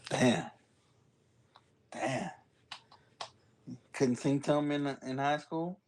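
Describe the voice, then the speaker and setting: A man's voice: a little high, fairly even intonation, extremely slow, darkish tone, slight background noise. A man co-hosting a podcast, chatting casually, teasing and laughing often. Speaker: podcast co-host. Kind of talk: casual chat.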